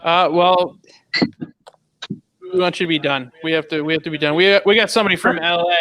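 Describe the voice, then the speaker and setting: A man's voice: a little high, a little fast, low-quality recording. A man hosting a streamed comedy show, bantering and introducing comics over a video call. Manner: upbeat, lively banter and introductions.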